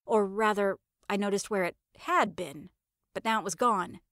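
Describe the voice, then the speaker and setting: Female narrator for comedy audio fiction: sardonic, expressive, medium pitch, very dry recording. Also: moderate pace, no echo. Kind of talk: first-person comedic scripted narration.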